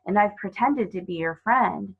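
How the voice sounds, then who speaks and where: A woman's voice: mid-range voice, normal speed, vocal fry, breathy edge, narrow, tinny audio. A woman voicing a timid, guilt-ridden male faun in a children's storybook, tearful, pleading and melodramatic. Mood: anguished confession.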